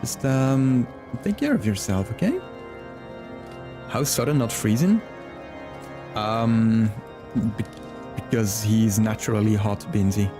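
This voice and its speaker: A man's voice: fairly low pitch, animated, takes it slowly, pauses often. Friendly male streamer chatting casually with viewers, game music underneath. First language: Flemish. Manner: chatty and friendly, greets chat by name, laughs often. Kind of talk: casual live-stream chat.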